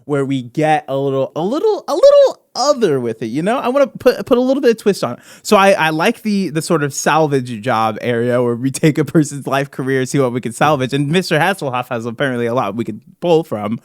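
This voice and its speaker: Animated higher-voiced man bantering on a podcast. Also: fairly quick, few pauses, dry recording, studio quality. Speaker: podcast host. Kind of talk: casual comedic podcast banter.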